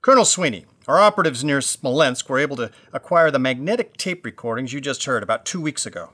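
Man as serious special agent, American accent, respectful briefing, radio drama, medium pitch. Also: animated, quick pace, fairly bright tone. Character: crisp, deferential male American special agent.

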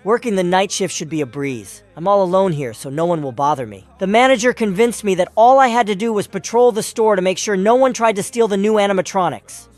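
A woman's voice: mid-range voice, expressive, brisk, few pauses, a little mellow, dry recording, natural voice. A woman voicing a shy, stammering young male night guard, over music. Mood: narrating. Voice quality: stammering, nervous. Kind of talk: comic dub acting.

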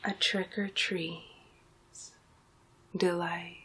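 Young female ASMR performer, hypnotic enchantress role; hushed, very breathy whisper, unhurried drawn-out phrases. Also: fairly low pitch, flat delivery. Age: young adult. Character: magical enchantress turning listener into Halloween candy.

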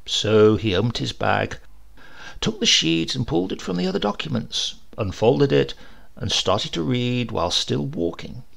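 A man reading a literary short story aloud as an audiobook narrator, in an expressive, measured storytelling manner. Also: medium pitch, creaky.

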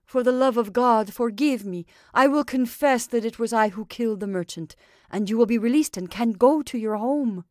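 A guilt-ridden male convict, read by a woman in a storybook, begging desperately for forgiveness. The voice sits higher and much louder than her narration. The sound is close and dry. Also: moderate pace, no echo, lightly acted. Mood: pleading. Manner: imploring, desperate.

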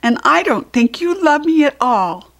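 A woman's voice: high voice, big pitch swings, a little slow, pitched above the performer's own voice, exaggerated voice. A woman voicing a grumpy, anxious little fox child in a storybook, asking plaintive, emphatic questions.

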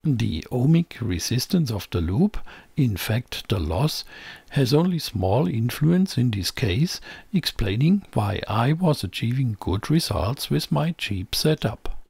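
Guy doing a scripted tech tutorial voiceover, measured, bit raspy, really dry close sound. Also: mid-range voice, big pitch swings, a little mellow, no room sound. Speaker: presenter of an electronics tutorial channel. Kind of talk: scripted technical narration.

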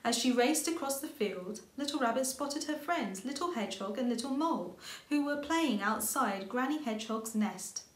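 Female storybook reader, thirties, higher register, expressive delivery, roomy home sound. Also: normal speed. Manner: expressive reading with character lines. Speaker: author reading aloud. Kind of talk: storybook narration for children. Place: indoor room with bookshelves.

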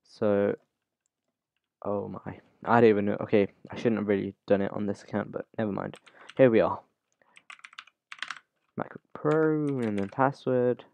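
A man hosting a casual, unscripted software tutorial, talking through the steps informally as he goes. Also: fairly low pitch, fairly even intonation, normal speed, creaky, long pauses, highs cut off. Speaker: YouTube tutorial host.

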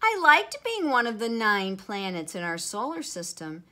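A woman's voice: fairly high pitch, wildly swooping pitch, moderate pace, bright, forceful tone, exaggerated voice. A woman voicing an indignant little male dwarf planet in a children's storybook, with emphatic, exclamatory delivery. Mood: defiant.

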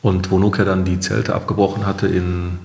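A middle-aged man speaks conversationally as a guest in an interview. His voice is deep with plenty of fry, and the sound around it is roomy and echoing. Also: level tone, moderate pace, darkish tone. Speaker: company founder, interview guest.

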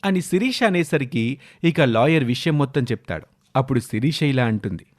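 A man narrates a family drama as a storyteller. His mid-pitched voice moves up and down in a very lively way as he reads the scripted narration. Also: moderate pace.

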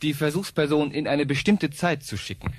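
A man's voice: a little high, normal speed, close to an everyday voice. A man playing a television interviewer in a radio drama, asking probing questions in a formal, articulate manner. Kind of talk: German radio drama acting.

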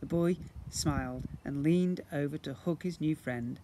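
A man's voice: a little high, unhurried, warm tone. A middle-aged man reading a children's story aloud like a teacher to young pupils, outdoors. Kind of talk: animated children's storybook narration. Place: outdoors in a brick-walled yard. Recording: noisy outdoor handheld recording.

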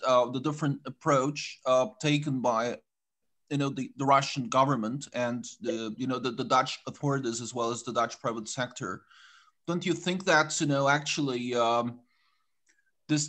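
A man's voice: mid-range voice, fairly bright tone. A man in the audience of an online lecture, putting his question insistently and repeating himself as he goes. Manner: repetitive, insistent, circling his point. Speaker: audience member asking questions.

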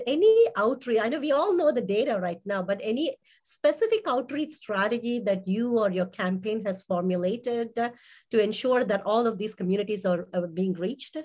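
Chatty woman interviewer. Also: mid-range voice. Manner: chatty, frequent fillers and restarts. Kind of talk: online interview show.

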